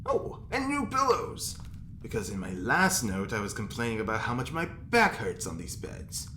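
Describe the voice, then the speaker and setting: A man's voice: medium pitch, wildly swooping pitch, moderate pace, pushed and bright. A man playing a pampered, fussy, arch prisoner in an audio drama, speaking in a coy, theatrical drawl. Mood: gossipy, delighted. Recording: echoey room, faint noise.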